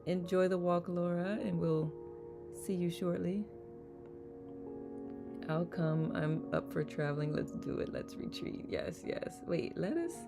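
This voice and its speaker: Gravelly-voiced livestream host over guitar music. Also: mid-range voice, unhurried. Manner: chatty, laughs easily, greets viewers by name. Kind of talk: casual livestream chat reading viewer comments. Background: soft guitar music.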